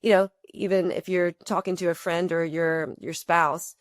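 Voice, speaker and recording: Woman, lowish voice, podcast guest, explains through examples, long flowing sentences, dry close sound. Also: fairly even intonation, moderate pace, no echo. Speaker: podcast guest, company co-founder. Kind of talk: conversational explaining.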